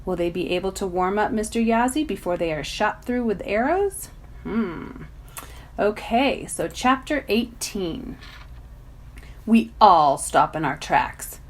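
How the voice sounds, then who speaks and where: Expressive woman reading aloud, animated pitch. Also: slow, slight background noise. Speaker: volunteer story reader. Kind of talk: expressive read-aloud narration of a children's novel.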